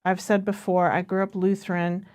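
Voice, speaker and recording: A woman's voice: lowish voice, level tone, normal speed, a little mellow. A woman from Minnesota with an American accent, co-hosting a podcast and telling stories in a casual, conversational way. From Minnesota, United States. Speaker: podcast co-host.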